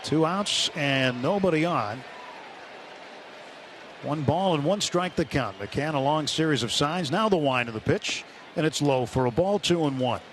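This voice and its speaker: A man's voice: on the high side, animated, unhurried. An American man calling live baseball play-by-play for a radio broadcast. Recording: radio broadcast over clear stadium noise. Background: ballpark ambience and crowd noise.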